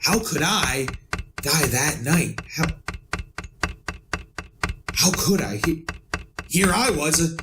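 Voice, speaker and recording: Tormented man, radio horror narrator, stammering, overwrought, slow, highly animated pitch, noisy recording. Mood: frantic, bewildered. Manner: halting, stammering, overwrought, repeating himself. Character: tormented man narrating his own descent into madness. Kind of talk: old-time radio horror drama acting.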